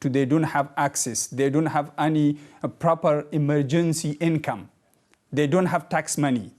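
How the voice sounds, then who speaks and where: A man from Afghanistan, speaking as a guest in a debate and explaining his view in halting, repetitive English. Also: on the high side, a little mellow. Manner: halting, repeats words, earnest. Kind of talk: debate discussion.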